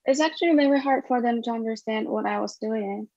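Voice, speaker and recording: A woman in her thirties from China, a documentary filmmaker answering interview questions in a halting way with many fillers and restarts, recorded over a webcam with earbuds in a small room. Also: fairly high pitch, darkish tone, dry recording. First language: Chinese. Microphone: wireless earbuds at a webcam. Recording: dull, dry webcam audio.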